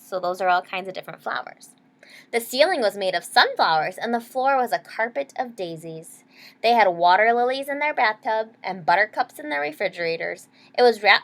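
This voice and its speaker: A woman reading a children's picture book aloud expressively, pausing to explain words and ask young listeners questions. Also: medium pitch, a bit bright. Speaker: read-aloud storyteller. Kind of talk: expressive children's story narration.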